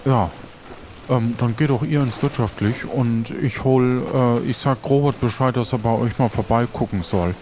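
A man playing a helpful but unsure pirate acquaintance, halting and stammering, in an improvised audio adventure. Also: medium pitch, background noise, lightly acted. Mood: hesitant. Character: hesitant, helpful pirate acquaintance named Sören.

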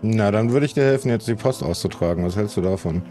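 Guy acting as gruff helpful pirate in an audio play, lowish voice, kinda muted tone, stops and thinks a lot. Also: quick pace, warm tone, faint music behind, close to an everyday voice. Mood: friendly.

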